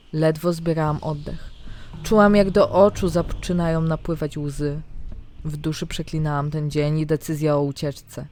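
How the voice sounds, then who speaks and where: A woman narrating a scripted fantasy diary in the first person, with dramatic, expressive storytelling. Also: low-pitched. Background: background music.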